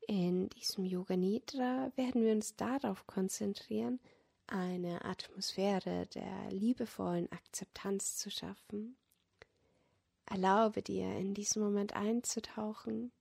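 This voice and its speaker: Woman, meditation guide, soothing, husky and breathy, creaky low edges, yoga nidra narration. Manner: soothing, gentle, often near-whispered delivery. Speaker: meditation guide and podcast host.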